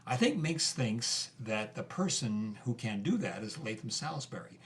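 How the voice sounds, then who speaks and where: A man's voice: mid-range voice, big pitch swings, moderate pace, a bit bright. A man telling a story in a conversational documentary style.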